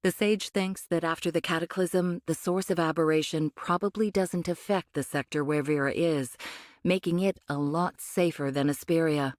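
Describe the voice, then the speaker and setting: An actress playing a condescending cyborg angel of a rebel faction in an anime game, speaking in a measured, cryptic way. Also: female voice, sits low, fairly even intonation, a little mellow, no room sound, studio quality, lightly acted. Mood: calm, explanatory. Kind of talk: anime game voice acting, expository dialogue.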